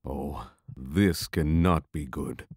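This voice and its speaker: An anxious young male adventurer in a fantasy audio drama, acted by a man. He speaks low and slowly, hesitant and full of doubt. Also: slightly rough, no room sound, clean studio sound, heavily acted. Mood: worried. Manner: hesitant, worried.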